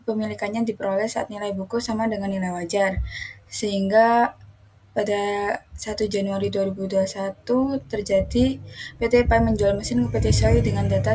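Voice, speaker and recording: A woman's voice: medium pitch, a little mellow, breathy edge. A young woman explaining worked steps as a student presenter. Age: young adult. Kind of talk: explanatory class presentation, read step by step.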